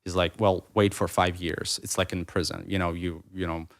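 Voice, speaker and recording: Low-voiced thirties man, Russian-native, rambling reflective guest speaking English. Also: little pitch movement, moderate pace. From Ukraine. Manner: rambling, restarts mid-thought, many fillers. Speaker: podcast guest, writer. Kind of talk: reflective conversational interview.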